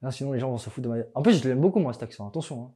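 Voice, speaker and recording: A man's voice: mid-range voice, lively intonation, very fast, warm tone, breathy edge. A young man, a fitness coach, explaining gear in a casual vlog, chatty and joking, with many fillers.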